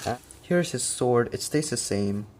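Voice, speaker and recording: A man's voice: mid-range voice, expressive, unhurried, a little mellow. A man casually explaining his custom figure work step by step, with occasional fillers. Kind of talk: casual product walkthrough.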